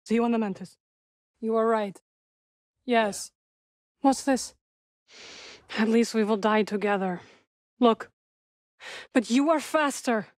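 A woman playing a solemn, guarded young witch warrior in a video game, dryly wry, with measured, formal phrasing. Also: a little high, darkish tone, dry recording, studio quality. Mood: solemn, gentle. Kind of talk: video game character dialogue.

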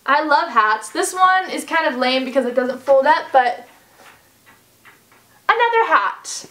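A woman's voice: high voice, expressive, measured pace, fairly bright tone. A woman in her twenties chatting through a casual packing vlog in a living room, upbeat and full of "like"s and "um"s. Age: 20s. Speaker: vlogger. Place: carpeted living room by a fireplace, indoors.

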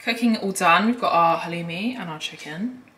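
A woman's voice: fairly low pitch, normal speed, very noisy. A young adult woman, chatting casually and emphatically as she walks through recipes in a home kitchen. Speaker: food and fitness vlogger. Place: modern hard-surfaced home kitchen, indoors. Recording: roomy kitchen echo.

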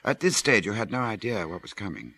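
An actor playing a grave, quiet listener who gives brief, deliberate prompts in a radio drama. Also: male voice, lowish voice, animated, dry recording, heavily acted. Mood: probing. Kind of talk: old radio drama acting.

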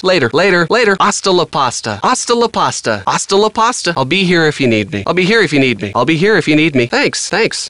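A man's voice: fairly high pitch, pushed and bright, no room sound. A man voicing a casual, evasive handyman in a video game, with a breezy, informal manner. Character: casual, evasive handyman living secretly in a mansion. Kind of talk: video game character dialogue.

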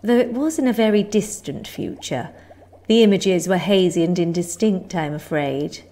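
Woman playing an earnest, expressive medieval seer. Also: on the low side, normal speed. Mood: solemn, explaining. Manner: formal, archaic phrasing. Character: earnest, deferential female medieval seer and scientist. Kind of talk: comic audio drama.

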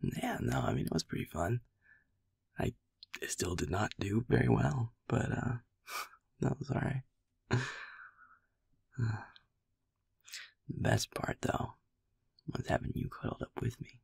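A man playing a sweet, playful young werewolf boyfriend in an intimate audio roleplay, casual and affectionate, with a husky, breathy, gravelly voice often near a whisper. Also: mid-range voice, animated, slow, long pauses, darkish tone, dry recording, heavily acted. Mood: relaxed, fond. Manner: casual, swearing, chuckling, affectionate murmuring.

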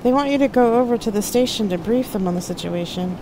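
A woman voicing a gentle, sorrowful, loyal male ship intelligence in a sci-fi audiobook, with noise behind the voice. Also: fairly high pitch, quick pace, voice pushed up, close to an everyday voice. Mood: apologetic. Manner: gentle, apologetic. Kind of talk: dramatic sci-fi audiobook dialogue.

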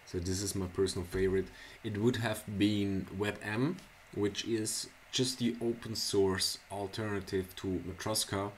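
Deep-voiced Swiss man, slow tutorial. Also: fairly even intonation, a little hiss or hum. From Switzerland. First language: Swiss German. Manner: unhurried, halting, many fillers and restarts. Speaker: software tutorial presenter. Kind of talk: casual screencast tutorial. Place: small indoor room at a computer.

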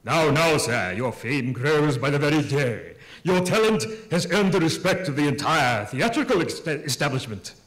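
A man's voice: a little high, big pitch swings, normal speed, few pauses, fairly bright tone. A man voicing a plummy, unctuous Victorian theatrical impresario with florid manners, in a comic stage story. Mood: flattering, businesslike.

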